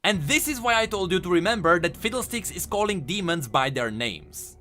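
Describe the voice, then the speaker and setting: A man's voice: high-pitched, lively intonation, a little fast, sharp tone. A man giving enthusiastic, emphatic scripted commentary as the host of a video game lore channel. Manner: emphatic, explanatory. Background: music.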